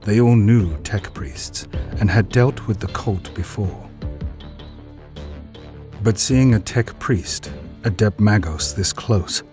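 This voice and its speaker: Low-pitched male dramatic narrator. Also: mellow.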